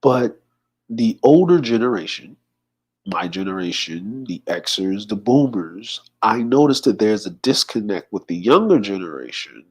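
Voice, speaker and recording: A man of forty talks easily to his livestream audience in a fairly low voice. He reads out comments, greets viewers by name and drifts into his own thoughts, unhurried and relaxed. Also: slightly rough. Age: 40s. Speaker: livestream host. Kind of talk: casual livestream chat.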